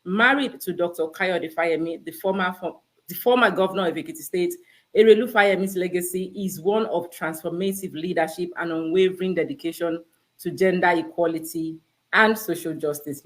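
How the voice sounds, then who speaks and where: Female host for a live online interview: lower voice, chatty and polite, fillers throughout. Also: moderate pace, a bit bright. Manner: chatty, polite, many fillers like "you know" and "um".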